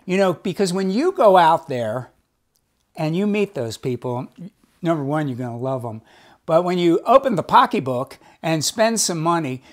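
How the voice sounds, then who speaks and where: An enthusiastic cooking show host talks a viewer through a recipe in a home kitchen. The delivery is chatty and casual, full of folksy asides. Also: mid-range voice.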